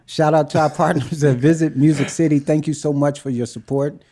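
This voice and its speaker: A man's voice: on the high side, steady tone, fairly quick, warm tone. A man co-hosting a podcast in casual, conversational talk. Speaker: podcast co-host. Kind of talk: casual chat.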